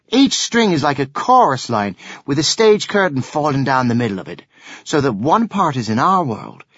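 A man plays a brainy schoolboy in a story, high and animated. He lectures with earnest, pedantic emphasis. The recording is very dry. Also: dry recording, lo-fi sound. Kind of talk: audiobook character reading.

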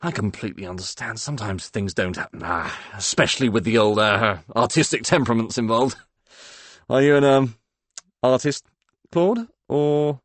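A man's voice: mid-range voice, normal speed, dry recording, pitched above the performer's own voice, close to an everyday voice. A man voicing a kindly middle-aged English editor, hesitant and courteous, trailing off as he talks in an audiobook story. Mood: gracious, hesitant. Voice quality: tobacco-rich.

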